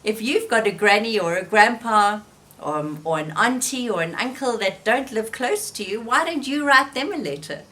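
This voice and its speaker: A woman reading a children's storybook aloud, gentle and expressive. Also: on the high side, normal speed. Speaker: storybook reader.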